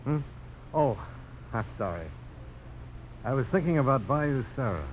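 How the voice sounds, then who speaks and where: A man playing an earnest, bold frontier gentleman in a 1950s radio drama, speaking with deliberate self-assurance. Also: mid-range voice, expressive, muffled recording, close to an everyday voice. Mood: earnest, ardent. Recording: noisy old radio broadcast.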